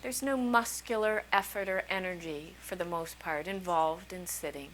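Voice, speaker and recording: A woman leading a guided meditation as a teacher, speaking soothingly in short, unhurried phrases with long pauses between them. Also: lowish voice, a bit bright, slight background noise, poor audio. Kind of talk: guided meditation, slow calming instruction.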